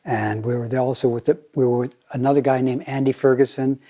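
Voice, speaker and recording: An older American man giving a Zen dharma talk, playful and deliberate, often slowing down and repeating his phrases. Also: medium pitch, fairly even intonation, warm tone. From United States. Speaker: Zen teacher.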